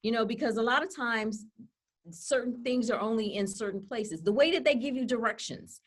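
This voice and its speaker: A woman's voice: fairly high pitch, normal speed. A woman co-hosting a casual webinar presentation from home, talking freely with many "you know" and "okay?" asides. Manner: chatty, full of fillers like "you know" and "okay?". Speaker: co-host and presenter. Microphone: laptop webcam on a video call.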